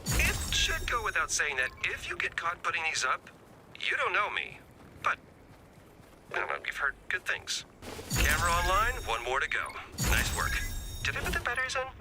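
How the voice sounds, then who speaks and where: Wildly animated wisecracking spy. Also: male voice, high-pitched, normal speed, music in the background. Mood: sheepish, rambling. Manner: rambling, joking, informal and hesitant. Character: quirky wisecracking defector spy, rambling young male resistance ally. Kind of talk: video game mission voice lines.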